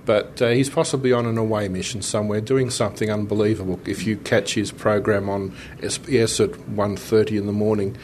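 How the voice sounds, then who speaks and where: Dry man, faint rumbly noise behind. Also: level tone, fairly quick, few pauses, a little mellow. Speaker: interview guest, convention promoter. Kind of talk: casual interview. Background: faint noise, low rumble.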